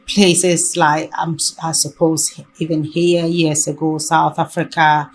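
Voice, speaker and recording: A woman talking reflectively in conversation, haltingly and often rephrasing mid-sentence. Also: deep voice, level tone, slight background noise. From Ghana.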